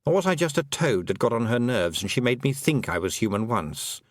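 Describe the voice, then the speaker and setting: A worried talking toad voiced by a man who rambles and frets in a lively, rising and falling voice. It sounds close and dry, with no room around it. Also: mid-range voice, a little fast, dry recording, close to an everyday voice. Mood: fretful. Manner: rambling, fretful musing.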